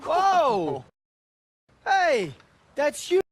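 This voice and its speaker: A man's voice: extremely high pitch, huge pitch swings, extremely slow, heavily acted. An actor playing a brash, good-hearted American infantryman, slangy and chummy, in a war game. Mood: excited. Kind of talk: war game cinematic acting.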